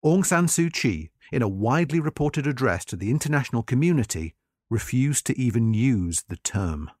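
Man narrating with lively, varied intonation. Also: mid-range voice, normal speed, slightly rough, no echo, studio quality. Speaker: narrator of a history article. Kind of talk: scripted narration, read-aloud article.

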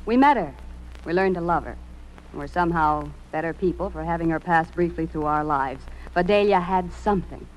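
An American woman acting in an old-time radio drama as a wry, no-nonsense woman with a brisk, commanding manner. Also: fairly low pitch, natural voice, poor audio. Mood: sardonic. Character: wry, no-nonsense woman who bosses squabbling men.

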